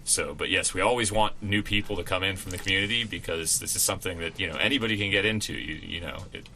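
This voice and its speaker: A man with a very low yet bright, forward voice talks as a radio interview guest. His delivery is conversational and rambling, with some noise behind him. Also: fast. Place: college radio station.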